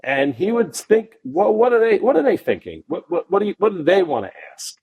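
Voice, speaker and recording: A middle-aged man, a podcast guest telling long animated anecdotes full of restarts. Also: fairly quick, creaky, slightly rough, no room sound, lo-fi sound. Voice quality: deep voice. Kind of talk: casual storytelling interview.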